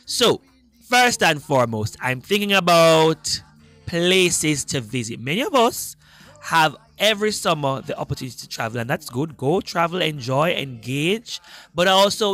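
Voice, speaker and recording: A man's voice: on the high side, lively intonation, moderate pace, a bit bright. Upbeat Jamaican man hosting live radio. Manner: upbeat, emphatic announcer delivery. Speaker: radio host. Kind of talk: live radio hosting with listener shout-outs.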